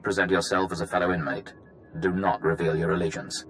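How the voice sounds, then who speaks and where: An actor playing a businesslike infiltration operative who gives a brisk, matter-of-fact recorded briefing in a video game. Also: male voice, low-pitched, flat delivery, a bit bright, a little hiss or hum.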